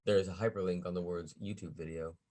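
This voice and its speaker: A man's voice: deep voice, steady tone, fast, dark tone. A man reading stage directions as the narrator at a script table read.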